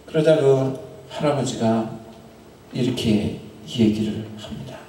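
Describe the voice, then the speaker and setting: A middle-aged male pastor tells a story during a sermon in a small chapel, speaking into a handheld mic, with long pauses and phrases that trail off. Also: mid-range voice, mellow, slight background noise. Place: small chapel in front of an altar. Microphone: handheld microphone. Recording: very echoey room, from a distance.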